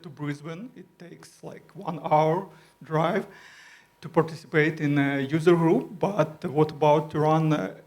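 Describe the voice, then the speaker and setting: A man with a Ukrainian accent speaking as a panelist on a conference stage, in careful, halting English with many pauses and fillers. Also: fairly high pitch, a little mellow. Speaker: panelist, user group organizer. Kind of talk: live panel discussion. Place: conference stage before an audience.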